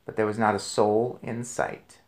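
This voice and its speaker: A man narrating a children's storybook aloud in an expressive read-aloud style. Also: fairly low pitch, unhurried.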